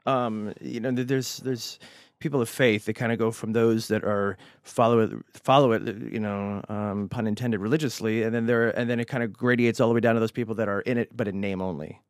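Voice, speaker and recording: Halting male podcast host, dry sound. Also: lowish voice, steady tone, dry recording. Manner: halting, restarts questions. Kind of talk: casual interview questions.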